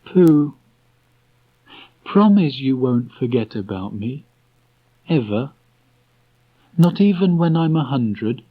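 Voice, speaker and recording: A man puts on a tender, dreamy young boy from a storybook. The voice is raised high, halting and earnest. It comes from a dull cassette tape. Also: big pitch swings. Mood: earnest, wistful. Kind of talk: storybook character voice.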